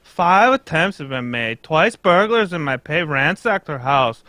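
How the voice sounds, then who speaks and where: Man playing an anxious foreign king, high and animated. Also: unhurried, dry recording, exaggerated voice. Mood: grave, desperate. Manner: stilted broken English, dropped articles, earnest. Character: anxious, pompous foreign king with a secret scandal. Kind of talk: old-time radio mystery acting, read live.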